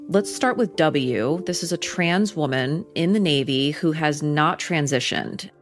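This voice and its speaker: Low-voiced female news reporter. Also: unhurried, soft background music.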